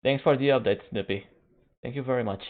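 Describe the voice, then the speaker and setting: Phone-like, halting male stream commentator. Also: medium pitch. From Canada. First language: Spanish. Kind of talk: casual stream chat while waiting for a match. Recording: narrow phone-like band.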